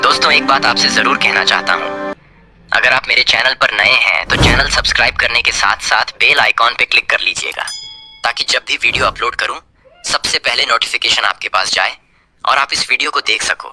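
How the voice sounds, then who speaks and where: Guy youtube host talking straight to viewers, casual and friendly, very sharp bright voice, soft music under. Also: medium pitch, normal speed. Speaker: channel host. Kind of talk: casual scripted appeal to viewers.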